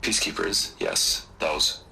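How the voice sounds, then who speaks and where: An actor playing a slick, evasive tech tycoon in a game cutscene, talking in a smooth, placating and defensive way. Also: male voice, slow pace, pushed and bright, slight background noise. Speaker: company head.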